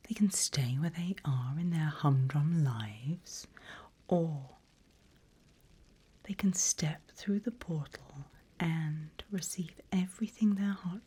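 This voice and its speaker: Seasoned female demon guide played by a woman for ASMR roleplay: very breathy whisper, low, slow, smug and menacing. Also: mellow, heavily acted. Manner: slow, drawn-out, theatrical.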